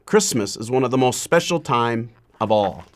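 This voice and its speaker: A man with a medium-pitched voice reads a children's storybook aloud. He phrases deliberately and leaves frequent pauses, in the manner of a measured story-time reading. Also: lively intonation.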